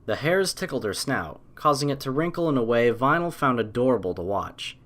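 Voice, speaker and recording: A man's voice: mid-range voice, expressive, a little fast, a bit bright. Man reading a story expressively. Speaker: audiobook narrator. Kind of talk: scripted story narration.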